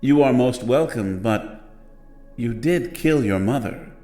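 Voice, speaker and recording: Horror audio drama, haunted-inn host, courteous yet menacing, mid-pitched and very animated. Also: male voice, takes it slowly, faint music behind. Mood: coolly menacing. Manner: formal, theatrical, ominously polite. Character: courteous, sinister male host of a haunted bed and breakfast.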